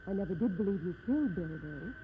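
An actress playing a genteel, cowardly former sweetheart, refined and hesitant in 1950s radio style. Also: female voice, fairly low pitch, lively intonation, quick pace, natural voice. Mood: frightened. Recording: tinny, noisy 1950s radio recording.

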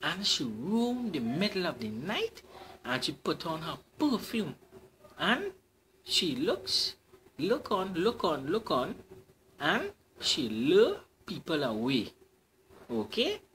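An expressive man telling a folktale to children, pausing to repeat the important parts. He speaks very slowly with a lively, sweeping melody, and a faint hiss sits behind him. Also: high voice. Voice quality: expressive, repeats key points. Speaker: children's storyteller. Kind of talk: folktale reading. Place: library storytime.

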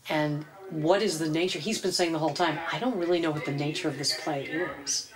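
A woman's voice: sits low, steady tone, fairly quick. A middle-aged woman speaking encouragingly as a theatre director to her actors in a small room. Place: small furnished green room.

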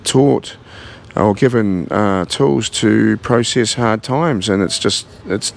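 A gravelly, fairly low-voiced man in his forties with a New Zealand accent, speaking in an earnest, halting way full of fillers and restarts. He is being interviewed in a large indoor gym and holds a handheld mic. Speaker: gym owner. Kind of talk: casual interview.

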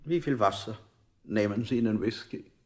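Casting: male actor for politely menacing male interrogator, deep-set voice, formal probing questions, radio drama. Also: normal speed, close to an everyday voice. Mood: coolly probing. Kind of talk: avant-garde German radio play acting.

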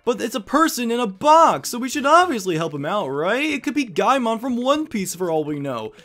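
A man's voice: extremely high pitch, very animated, normal speed, a bit bright. A man hosting a Let's Play with casual gameplay commentary, joking in a mock-dramatic way.

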